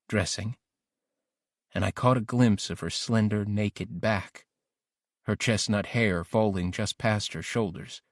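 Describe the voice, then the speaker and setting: A man's voice: on the low side, dry recording, clean studio sound. A man narrating an audiobook diary as an ambitious, lovesick teenage boy, introspective and intense. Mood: lovesick, searching. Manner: introspective, self-questioning, intense.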